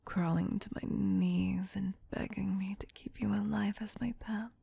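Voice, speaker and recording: A woman voicing a doting, possessive vampire queen in a breathy whisper, coaxing slowly and tenderly for an ASMR roleplay. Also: medium pitch, little pitch movement, dark tone, no room sound, exaggerated voice. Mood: teasing, adoring. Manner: slow, tender, coaxing endearments. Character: doting, possessive vampire queen soothing her human pet.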